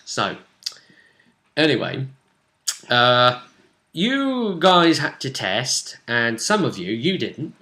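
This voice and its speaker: A man speaks as game master and host of a tabletop roleplay show. His voice is bright and forceful, and he recaps the session in a casual way. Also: mid-range voice, sing-song, takes it slowly, long pauses.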